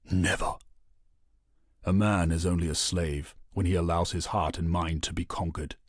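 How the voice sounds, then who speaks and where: A grave, unbowed rebel chief for a video game. His voice is very deep and extremely husky. He speaks in measured, solemn oratory, recorded dry with no room sound. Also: no echo, exaggerated voice. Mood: grave, defiant. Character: grave, proud, defiant rebel leader of an enslaved people. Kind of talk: scripted video game voice acting.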